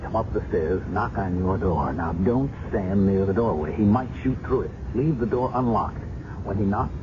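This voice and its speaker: An actor playing a dogged, businesslike police sergeant in a 1970s radio drama. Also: male voice, lowish voice, normal speed, natural voice. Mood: tense, watchful. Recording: muffled noisy 1970s radio recording.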